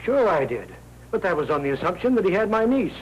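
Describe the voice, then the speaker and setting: An actor playing a middle-aged American newspaper boss, blustering and easily indignant, in a 1940s Hollywood film. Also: male voice, high-pitched, sing-song, fast, dull audio. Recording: noisy old 1940s film soundtrack.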